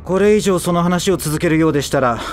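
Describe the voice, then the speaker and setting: A man's voice: a little high, fast, a little hiss or hum, close to an everyday voice. An actor playing an earnest young male android official who narrates his story in polite, formal speech. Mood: polite, wary. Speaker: narrator and lead character. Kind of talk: Japanese audio drama acting. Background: background music.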